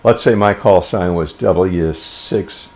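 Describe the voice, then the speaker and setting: An older man giving an informal instructional talk about a hobby, speaking plainly and with deliberate phrasing. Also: sits low, a little mellow. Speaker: amateur radio presenter.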